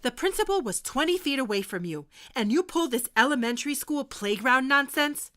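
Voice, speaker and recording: A woman playing a stern but caring American high school history teacher in an audio drama, firm and authoritative. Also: animated, a bit bright. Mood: stern scolding. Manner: firm, scolding, measured authority.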